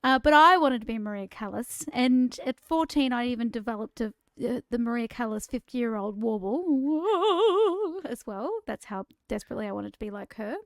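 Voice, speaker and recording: High, smooth-voiced Australian woman. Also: lively intonation, moderate pace, no room sound, studio quality. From Queensland, Australia. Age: 40s. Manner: chatty, self-deprecating storyteller. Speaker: podcast co-host. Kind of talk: casual conversational podcast chat.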